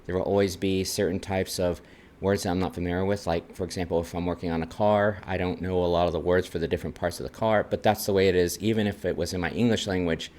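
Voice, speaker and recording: A man's voice: low voice, fairly even intonation, quick pace, darkish tone. A man from Texas with an American accent, talking about his own life as a vlogger. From Texas, United States. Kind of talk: personal talk to camera, numbered list.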